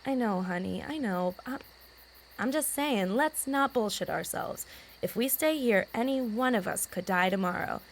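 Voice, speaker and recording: Actress doing a high-ish voiced teen girl in a fantasy audio drama, candid, troubled, crickets faintly behind. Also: normal speed, slight background noise, natural voice, rough recording. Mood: resolute. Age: teenager. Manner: candid, colloquial, mildly profane. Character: frank, troubled teenage girl stranded in a fantasy world. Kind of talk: naturalistic audio drama acting. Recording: faint outdoor night ambience with crickets.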